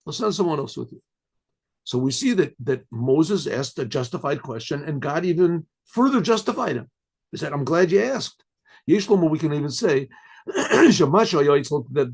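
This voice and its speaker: Husky-voiced rabbi teaching a class. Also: male voice, on the high side, lively intonation, normal speed, darkish tone. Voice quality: husky, clears his throat often. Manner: conversational, rhetorical questions, tags "right?". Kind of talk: informal Torah class lecture.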